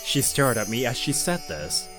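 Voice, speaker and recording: A man narrating as a young company president, telling the story in a lively way. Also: medium pitch, normal speed, over music, lightly acted, rough recording. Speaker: narrator and lead figure. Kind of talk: manga video narration.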